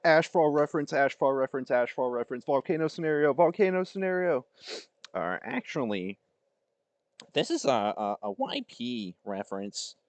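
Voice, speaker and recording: A man's voice: medium pitch, very animated, moderate pace, no room sound. A man giving casual, off-the-cuff reaction commentary as a livestreamer. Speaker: livestreamer reacting to fan-made videos.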